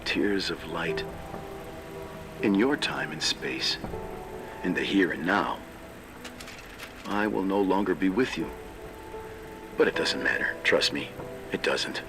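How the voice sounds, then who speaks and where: A man playing a weary, brooding man in a game cutscene, speaking poetically and deliberately over music. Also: deep voice, expressive, exaggerated voice. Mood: haunted, sombre. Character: weary, tormented man, grieving philosophically in a farewell monologue. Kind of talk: dramatic game cutscene monologue. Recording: music behind the voice.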